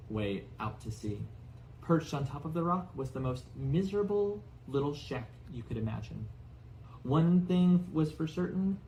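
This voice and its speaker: A man reading a storybook aloud as narrator in an expressive style. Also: mid-range voice, a little hiss or hum, low-quality recording. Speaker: audiobook narrator.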